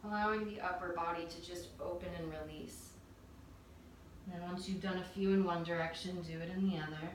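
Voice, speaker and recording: Gentle low-voiced woman yoga teacher, echoey. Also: fairly quick. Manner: calm, gentle cueing of breath and movement. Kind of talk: guided yoga instruction. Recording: very echoey room, distant mic, faint noise.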